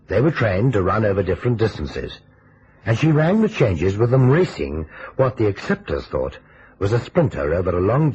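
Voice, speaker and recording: A man narrates a vintage detective story in a formal, explanatory way. His voice is deep with a wide, expressive range. The old recording is slightly hissy. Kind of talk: scripted vintage radio mystery narration. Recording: muffled vintage radio recording, faint hiss.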